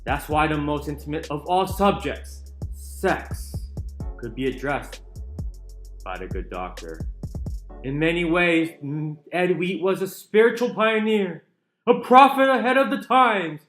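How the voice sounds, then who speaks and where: A man with a fairly high voice reads a book aloud in a theatrical, emphatic way. He leaves deliberate pauses between phrases. The room echoes noticeably, and soft chill music plays underneath. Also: lively intonation. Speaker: channel narrator reading a book aloud. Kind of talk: dramatic reading of a book foreword. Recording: roomy echo with music underneath.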